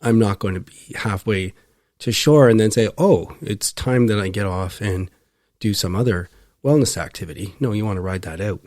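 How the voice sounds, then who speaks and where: Male podcast host, lower register, thoughtful personal monologue, deliberate phrasing, close dry sound. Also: darkish tone, dry recording.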